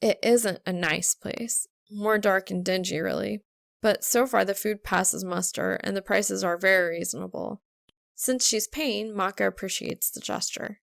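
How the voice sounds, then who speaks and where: A woman narrates a story in an expressive reading style. Her voice sits at a medium pitch with plenty of creak, and the sound is dry, with very little room. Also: a little slow, dry recording. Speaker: fan fiction audiobook reader.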